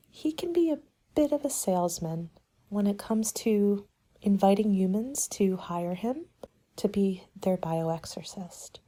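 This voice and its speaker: A woman doing a soft-spoken ASMR roleplay as a helpful party planner, gentle and measured, often slipping into a whisper. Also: medium pitch.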